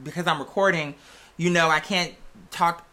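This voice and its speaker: A man's voice: on the high side, steady tone, normal speed, crowd noise. A man chatting casually and affectionately as a vlog host, earnest and often repeating his phrases for emphasis.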